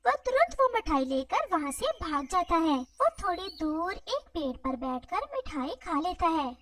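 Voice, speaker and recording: A woman narrates a children's story in a very high voice. Her pitch moves in lively swings. The recording is dry, though she sits a little away from the mic. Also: a little slow, no room sound, distant mic.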